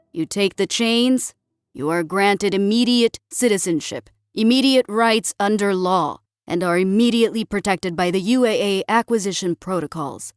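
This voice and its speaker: A senior military woman in a science fiction audiobook, put on by a female narrator. She is proud yet friendly, with formal, declarative phrasing. The voice is mid-pitched and very dry, over music. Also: clean tone, dry recording, close to an everyday voice. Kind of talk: dramatic audiobook character acting.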